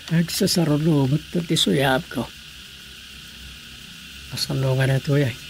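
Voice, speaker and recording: An everyday Ilocano husband in a radio drama. He speaks at a slightly high pitch, with clearly audible night crickets and noise around him. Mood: weary musing. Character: adult Filipino husband, everyday family man. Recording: noisy track with chirping night crickets.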